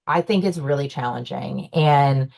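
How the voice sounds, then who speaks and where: Young woman panelist, low voice, chatty with lots of fillers, casual panel talk. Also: unhurried, vocal fry, a little mellow. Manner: chatty, many fillers and restarts, laughs often. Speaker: panelist, owner's daughter in the business.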